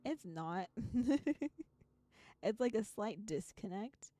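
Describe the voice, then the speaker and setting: Quiet, giggly woman with a mellow voice. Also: medium pitch, lively intonation, extremely slow. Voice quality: quiet but clear voice. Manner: giggly, laughs often. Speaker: streamer. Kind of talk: casual chat and reading a visual novel aloud.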